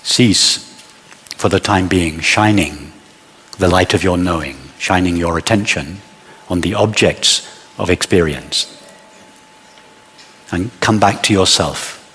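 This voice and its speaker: Man, meditation teacher, deep voice, husky, short measured phrases, long pauses, reverberant hall. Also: a bit bright. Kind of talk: guided meditation.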